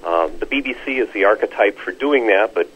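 Male expert interviewee, fairly deep and husky, explaining haltingly with many pauses, lo-fi radio sound. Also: animated, a little hiss or hum. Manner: hesitant, measured, frequent long pauses. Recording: slightly dull, lo-fi radio-call sound.

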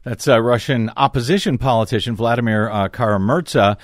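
A man hosting a conversational radio interview, asking questions in a halting way with restarts. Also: medium pitch, no echo, clean studio sound. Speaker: radio show host.

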